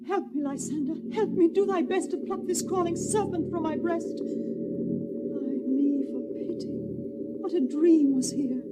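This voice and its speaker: A woman's voice: high voice, measured pace, long pauses, very noisy. A woman playing Hermia, a young woman speaking earnest verse. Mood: frightened. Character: young woman Hermia, modest then frightened. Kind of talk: stage drama acting.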